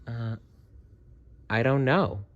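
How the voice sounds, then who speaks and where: A man's voice: mid-range voice, drawn-out words, muffled recording. A man voicing a shy, curious little boy dinosaur in a storybook, childlike and earnest.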